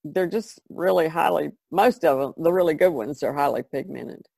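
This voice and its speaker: Creaky, low-pitched woman chatting casually. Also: moderate pace, a little mellow, dry recording. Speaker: podcast guest artist. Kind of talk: casual conversation explaining craft.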